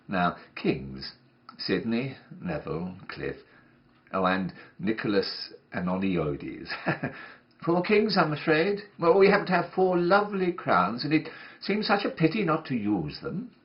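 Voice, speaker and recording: A man takes on the part of a genteel woman running a nursery class in a comic monologue. The delivery swings wildly up and down in pitch, brightly patient one moment and gently scolding the next, always coaxing as if to small children. The recording is a little muffled and lo-fi. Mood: apologetic, bright. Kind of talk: performed comic monologue reading.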